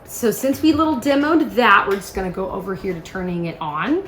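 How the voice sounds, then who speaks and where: A woman's voice: medium pitch, fairly quick, a bit bright, faint music behind. A woman giving a casual, chatty product demonstration, explaining things step by step in an informal, instructional way. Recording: roomy echo, faint background noise.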